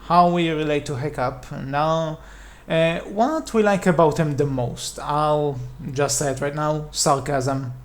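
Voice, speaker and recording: A man's voice: on the high side, slow, hardly any pauses. A man with a nasal voice co-hosting a casual fan podcast, sarcastic and rambling, with frequent restarts. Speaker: podcast co-host.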